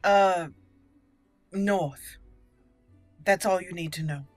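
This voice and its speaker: A woman's voice: lowish voice, extremely slow, soft background music. A woman hosting a roleplay game playthrough, mixing commentary with theatrical, haughty in-character lines. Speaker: gaming host.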